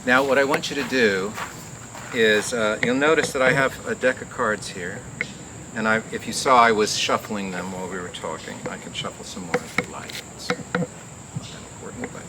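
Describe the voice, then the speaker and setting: A man hosting a live outdoor garden show, welcoming people and doing magician's patter in an informal, halting, rambling way. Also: on the low side. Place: outdoor community garden event. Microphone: live mic through a speaker. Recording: very noisy outdoor live recording.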